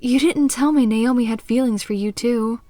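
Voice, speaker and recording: A woman voicing a caring, loyal young woman in a visual novel, speaking with earnest, gentle candor. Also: fairly high pitch, moderate pace, close to an everyday voice. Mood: indignant.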